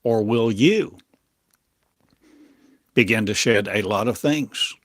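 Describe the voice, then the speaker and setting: Man, lowish voice, very slow and measured, halting oracular channeling delivery, dry close sound. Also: dry recording. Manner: halting, fragmented, oracular phrasing with coined words. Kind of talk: prophetic channeled monologue.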